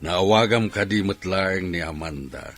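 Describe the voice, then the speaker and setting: A man playing an angry, domineering father in an Ilocano radio drama, stern and scolding with a husky voice. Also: deep voice, unhurried, over music. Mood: angry, demanding. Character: angry, domineering father, scheming over his daughter's marriage. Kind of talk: Filipino radio drama acting.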